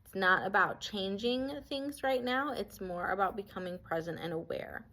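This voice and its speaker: A woman leading a guided body scan meditation as an instructor, speaking in a gentle, reassuring way that gives permission. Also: mid-range voice, moderate pace.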